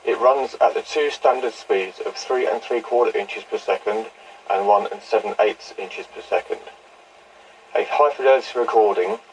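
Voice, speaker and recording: A distant recording of a man with a fairly low voice. He speaks in a measured, matter-of-fact way, as in a scripted equipment demonstration. Faint noise sits on the reel-to-reel tape recording. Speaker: presenter demonstrating vintage audio equipment. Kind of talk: scripted test recording and product narration.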